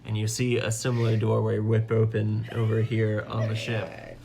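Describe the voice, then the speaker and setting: A man's voice: fairly low pitch, lively intonation, normal speed, creaky, noisy background. A man acting as game master, casually improvising narration for players around a table.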